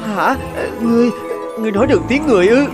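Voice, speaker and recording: A flustered young village man in a fairy-tale cartoon. His voice is high and swings about as he stammers and exclaims, over loud music. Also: lively intonation, quick pace. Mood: startled. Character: poor young village man, anxious, flustered and easily startled.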